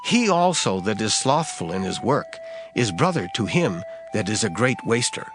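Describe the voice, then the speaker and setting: A man's voice: lowish voice, sing-song. Solemn male scripture reader over music. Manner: measured, solemn recitation. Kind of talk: dramatized scripture reading. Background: background music.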